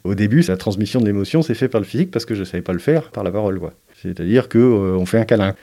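A man giving an interview as a parent, speaking in a hesitant, thoughtful way with frequent pauses and fillers. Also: on the low side, expressive, mellow. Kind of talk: reflective interview testimony.

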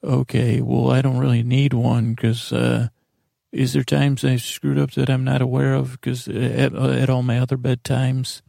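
A man in his forties hosting a bedtime podcast, rambling haltingly and interrupting himself in a self-deprecating monologue. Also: mid-range voice, completely flat delivery, creaky, warm tone, no echo, clean studio sound. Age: 40s. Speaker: podcast host.

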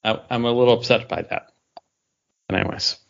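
A man's voice: mid-range voice, steady tone, moderate pace, creaky, fairly bright tone, muffled recording. A man chatting casually as a podcast co-host, often acting out imagined quotes as he makes his points. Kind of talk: casual geek-culture chat.

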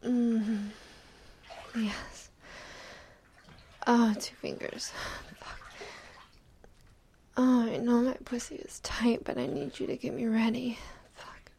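Young woman, intimate roleplay, slow husky whisper with a gravelly edge. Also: a little high, little pitch movement. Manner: mostly whispering, soft and teasing. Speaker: audio roleplay performer.

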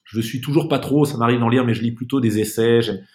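A man's voice: medium pitch, quick pace, darkish tone. A man speaking as a podcast guest in a casual, reflective interview, searching for his words and often repeating them.

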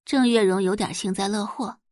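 A woman's voice: medium pitch, steady tone, normal speed, darkish tone, no room sound, clean studio sound. A woman narrator reading a romance novel expressively for an audio drama, as scripted storytelling.

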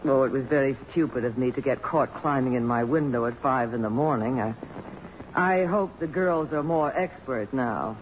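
A woman's voice: extremely low pitch, normal speed. An actress playing a polished, commanding middle-aged woman of accomplishment in 1950s radio drama style. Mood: guarded, nostalgic. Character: poised, strong-willed middle-aged woman, accomplished author and dignitary. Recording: dull, noisy vintage radio recording.

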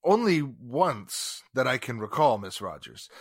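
A man's voice: mid-range voice, sing-song, unhurried, husky, no room sound, studio quality. An actor playing a haughty, sarcastic, indignant businessman in 1940s radio drama style. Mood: coolly sarcastic. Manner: pompous, formal, sarcastic. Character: haughty, indignant wealthy businessman under police questioning.

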